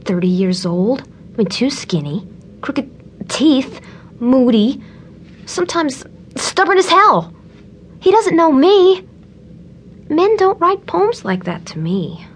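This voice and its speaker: An actress playing a guarded, flustered woman in an audio drama, talking in a halting, conversational way. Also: high-pitched, very animated, heavily acted. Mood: exasperated, defensive. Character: guarded, flustered woman reading a suitor's letters aloud.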